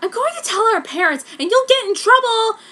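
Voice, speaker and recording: A woman's voice: very high-pitched, sing-song, a little fast, sharp tone, pitched above the performer's own voice, full character voice. A woman voicing a snotty, taunting schoolgirl sister in an amateur story reading. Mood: indignant.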